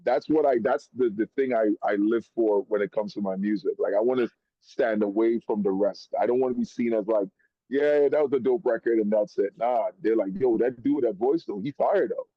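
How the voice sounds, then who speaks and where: A man from Trinidad, a rapper guest, talking in an animated, slang-heavy way in a casual chat. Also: on the low side, a little fast, no echo. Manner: animated, slang-heavy, calls host bro. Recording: dull, dry lo-fi sound.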